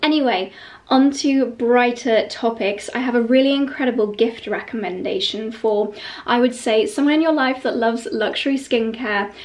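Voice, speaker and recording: A woman in her thirties, vlogging to a close camera in a bright bedroom, chatty and gushing, a little stuffy from a cold. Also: on the high side, normal speed. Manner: gushing and chatty, with light laughs. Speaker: lifestyle vlogger. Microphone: camera held close.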